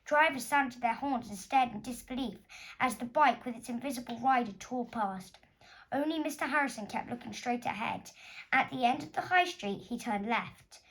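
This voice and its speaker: A woman's voice: a little high, a bit bright, poor audio. A woman narrating a children's story expressively, as an author reading her own book.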